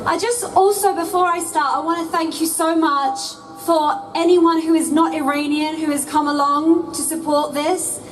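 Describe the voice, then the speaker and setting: A woman pastor giving an earnest, preacherly speech at an outdoor rally. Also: sits high. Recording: distant mic with outdoor noise.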